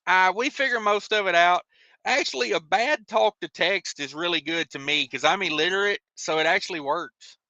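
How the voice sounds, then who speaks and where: A man's voice: high voice, normal speed, sharp tone, no room sound, highs cut off. An American man hosting a casual livestream, reading out viewer comments and replying in a folksy, rambling, colloquial way. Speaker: livestream host, truck driver.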